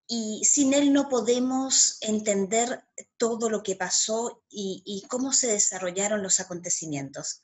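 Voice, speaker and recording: Husky-voiced Argentine woman historian. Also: fairly high pitch. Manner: measured, frequent "¿ah?" tags. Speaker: historian guest. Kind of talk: academic explanation. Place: home room. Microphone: video call laptop mic.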